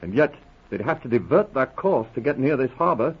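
An actor playing a questioning, mildly sceptical police sergeant, the junior partner in a 1940s radio drama. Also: male voice, medium pitch, fairly quick, husky. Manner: animated, questioning. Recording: dull, muffled old radio recording.

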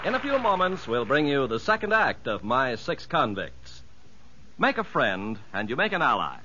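Casting: man, formal old-time radio announcer, emphatic sweeping intonation, mid pitch, dull vintage recording. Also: very animated, moderate pace, background noise. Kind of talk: scripted 1950s radio narration. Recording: muffled old radio broadcast.

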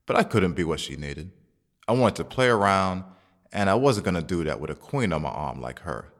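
Brash young playboy with animated pitch. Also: male voice, moderate pace. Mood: reflective. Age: young adult. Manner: brash, slangy, swearing. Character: young single playboy boyfriend, cocky yet caring. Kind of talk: scripted audio drama acting and first-person narration. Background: background music.

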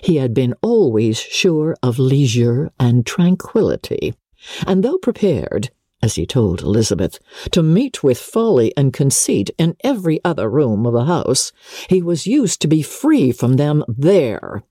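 A woman narrates classic literature in a dark, mellow, husky voice. Her delivery is theatrical and measured, with very animated intonation. The sound is close and dry. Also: extremely low pitch, no echo. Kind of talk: expressive scripted audiobook narration of a classic novel.

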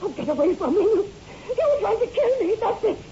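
An actress playing a frightened, accusing wife in a 1960s radio horror drama, with emphatic, melodramatic delivery. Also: female voice, very high-pitched, expressive, fairly quick, dark tone. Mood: terrified, accusing. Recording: muffled, noisy old radio recording.

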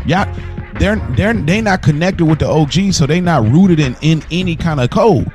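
Animated American man over music. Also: a little high, normal speed. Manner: animated, slangy, repeats phrases for emphasis. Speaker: podcast co-host. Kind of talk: casual hip-hop talk podcast. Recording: music playing underneath.

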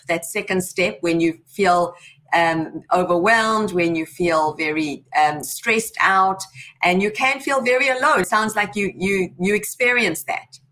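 A woman's voice: lowish voice, a bit bright. A woman hosting an interview, asking questions with frequent ums and easy laughs. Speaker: podcast host.

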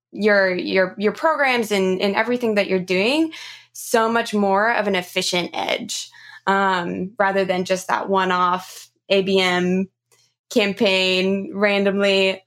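A woman's voice: medium pitch, a bit bright. A woman hosting a podcast in an informal, encouraging, conversational way, summing up ideas for listeners. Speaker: podcast host. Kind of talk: conversational interview wrap-up.

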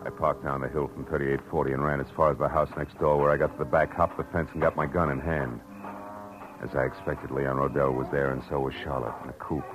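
Wry, hard-boiled American gumshoe, very low voice, clipped slangy delivery, vintage radio drama with music behind. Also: male voice, little pitch movement, quick pace, poor audio. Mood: wry, tense narration. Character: tough, wry hard-boiled male private eye. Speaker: lead actor and narrator. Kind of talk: 1940s radio detective drama acting and narration. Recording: old radio broadcast with music.

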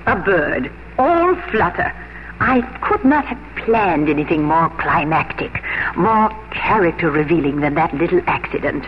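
A worldly, scheming older lady of society. She speaks knowingly and with theatrical emphasis, her pitch moving freely. The sound is a dull old radio play with faint hiss. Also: very animated, measured pace. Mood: knowing, sly. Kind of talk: 1950s radio drama acting. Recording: muffled, hissy old radio broadcast.

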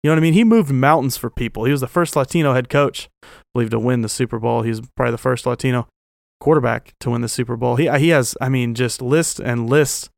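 A man's voice: medium pitch, fast talker, gravelly, no echo, clean studio sound. A man in his twenties giving an opinionated, informal football commentary as a show host, blunt and emphatic. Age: 20s. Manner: emphatic, blunt, informal with mild slang swearing. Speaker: sports fan show host.

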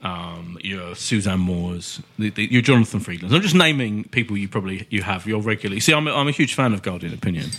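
A man's voice: on the low side, lively intonation, quick pace, creaky, hardly any pauses, fairly bright tone. A man hosting a casual podcast chat, stuffed up with a cold, chatty and self-mocking. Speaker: podcast host.

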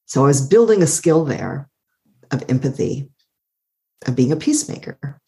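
A middle-aged woman with a calm, soothing voice, thoughtfully telling her life story as an interview guest and laughing often. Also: rumbling low, moderate pace. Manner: warm, thoughtful, laughs often, uses "like" a lot. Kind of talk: reflective personal storytelling.

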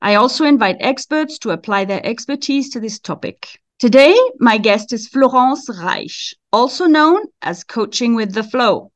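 A woman's voice: on the high side, animated, a little slow, few pauses. A woman from Switzerland presenting and interviewing as a podcast host, in a slightly lo-fi recording.

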